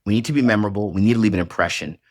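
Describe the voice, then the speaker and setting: A man's voice: on the low side, rapid-fire, darkish tone, no echo, clean studio sound. A man hosting a podcast interview, encouraging and upbeat, often repeating words as he starts a thought. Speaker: podcast host.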